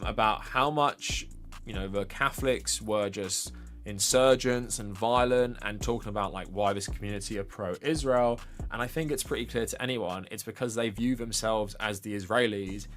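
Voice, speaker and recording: A man's voice: lowish voice, a bit bright. A man with an English accent giving informal, opinionated political commentary as a livestream presenter, speaking in a conversational, emphatic way.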